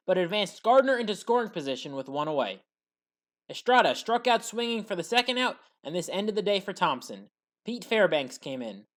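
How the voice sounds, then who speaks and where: A woman commentator reading a scripted play-by-play sports recap in a factual, orderly way. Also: fairly high pitch.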